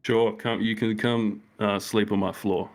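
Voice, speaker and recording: A man's voice: fairly low pitch, little pitch movement, a little slow. A man from Perth with an Australian accent, calling in and chatting in a laid-back, teasing way. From Perth, Western Australia. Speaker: caller. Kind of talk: casual chat.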